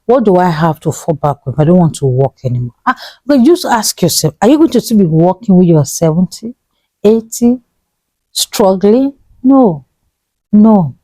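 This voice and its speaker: A woman in her thirties with a Nigerian English accent speaks in a mellow, dark, fairly low voice, pleading and repeating herself. She sinks to a near hush on her key points. The sound is close and dry. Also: very animated, moderate pace, no echo, poor audio. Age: 30s. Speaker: vlogger giving money advice. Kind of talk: earnest motivational talk to camera.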